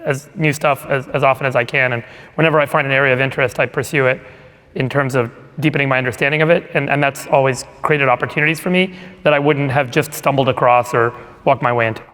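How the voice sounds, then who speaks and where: A man's voice: mid-range voice, fairly even intonation, quick pace, fairly bright tone. A man casually moderating a live panel as one of its hosts. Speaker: podcast host, moderator. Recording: reverberant conference hall.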